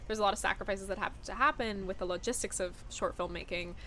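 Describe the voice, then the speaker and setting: Bright, eager woman podcast host. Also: medium pitch, quick pace, a little hiss or hum. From Toronto, Canada. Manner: enthusiastic, affirming, asks long multi-part questions. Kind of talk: casual interview conversation.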